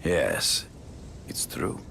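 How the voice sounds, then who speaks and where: Very deep, gravelly Russian hunter villain. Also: male voice, big pitch swings, background noise, full character voice. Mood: grave, formal. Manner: grave, formal, deliberate speech. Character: proud, menacing, honor-bound big-game hunter villain. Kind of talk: video game cutscene acting.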